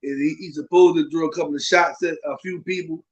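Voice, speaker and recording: A man's voice: fairly high pitch, steady tone, fast talker, lo-fi sound. A man co-hosting a podcast with a stuffed-up nose from a cold, talking casually and animatedly, often repeating himself. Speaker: podcast co-host. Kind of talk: casual chat.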